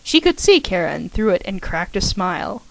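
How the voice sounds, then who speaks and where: A woman's voice: medium pitch, very animated, moderate pace, vocal fry, no echo, poor audio. Woman reading fanfiction aloud, close and dry. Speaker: fanfiction reader. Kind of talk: amateur audiobook narration.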